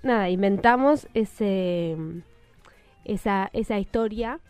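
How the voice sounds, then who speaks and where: A woman's voice: mid-range voice, takes it slowly, darkish tone, smooth, dry recording, soft background music. A woman, speaking casually and hesitantly as a radio guest. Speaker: radio play writer and guest. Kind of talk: casual interview chat. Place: radio studio.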